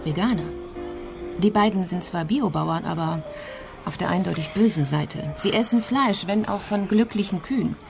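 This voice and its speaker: A woman's voice: on the low side, normal speed, vocal fry. Sardonic female investigator, music behind. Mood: sarcastic. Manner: ironic, probing, articulate. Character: sharp, sardonic female criminal investigator, coolly analytical. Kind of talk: naturalistic radio drama acting.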